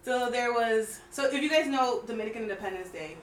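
A woman's voice: fairly high pitch, fairly quick, distant mic, a crowd in the background. A woman guest speaking in casual chat, explaining and putting questions to the group. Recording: echoey, off the mic.

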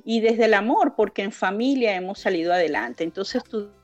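A woman's voice: medium pitch, lively intonation, moderate pace, a little mellow, dry recording, dull audio, faint music behind. A mature Venezuelan woman in her fifties or older, telling her story emphatically as a guest in a conversational interview, often repeating words to build a point. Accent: Venezuelan Spanish. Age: 50s or older. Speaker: interview guest, founder of a women's leadership project.

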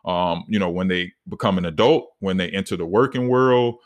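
A man in his thirties with a deep voice, hosting a solo podcast and talking earnestly, with many fillers and restarts. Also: normal speed. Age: 30s. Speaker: podcast host.

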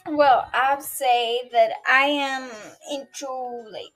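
A woman's voice: high-pitched, fairly even intonation, slow pace, a bit bright, low-quality recording. A woman in her early twenties from Greece with a Greek accent, chatting casually as a podcast host in a rambling, hesitant way. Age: early 20s. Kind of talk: casual solo podcast chat. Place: bedroom at home. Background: chill R&B background music.

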